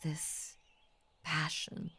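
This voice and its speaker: A woman voicing an ancient, possessive and adoring lamia in an intimate roleplay audio, speaking softly in grand formal phrases that often drop to a near-whisper. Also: drawn-out words. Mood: teasing, admiring.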